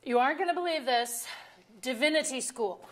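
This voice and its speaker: Actress as dry middle-aged wife, high voice. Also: female voice, a little fast, fairly bright tone. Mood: deadpan. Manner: dry, sardonic. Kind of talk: staged play reading, conversational comedy. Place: auditorium stage.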